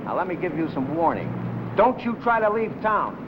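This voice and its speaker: High-voiced, scheming older boss. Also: male voice, sing-song, normal speed. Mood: threatening. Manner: insistent, menacing. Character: stern, scheming older male boss, coolly extorting. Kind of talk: 1950 film noir acting. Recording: muffled, noisy old film soundtrack.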